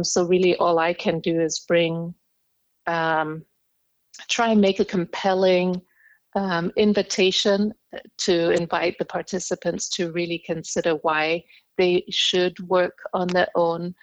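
Woman guest whose first language is Indonesian, lowish voice, thoughtful storyteller, dry recording. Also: dry recording, rough recording. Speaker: interview guest, communication skills trainer. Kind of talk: podcast interview storytelling.

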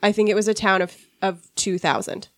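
A woman's voice: mid-range voice, fairly even intonation, fairly quick, dry recording. A woman from rural Washington hosting a casual podcast discussion, sniffly from a cold and chatty, with wry asides. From rural Washington, USA. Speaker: podcast host.

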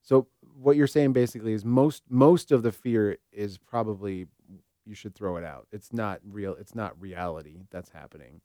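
A man with a fairly low voice chats reflectively as a co-host. He is tentative and reasons things out as he goes. The recording is dry and free of room sound. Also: normal speed, dark tone, dry recording. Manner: tentative, thinking aloud. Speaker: therapist co-hosting a talk show. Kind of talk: casual reflective discussion.

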